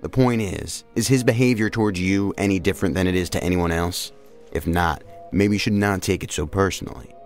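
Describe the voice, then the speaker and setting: A man playing a calm, frank federal agent in an audio drama. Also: low-pitched, fairly quick, faint music behind. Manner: frank, reassuring.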